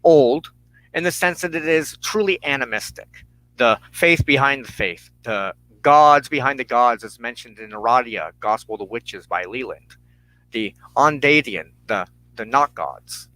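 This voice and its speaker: A man hosting a religious broadcast, giving a solemn, measured sermon of personal testimony and teaching with emphatic phrasing and deliberate pauses. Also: mid-range voice, animated, pushed and bright, no room sound, poor audio.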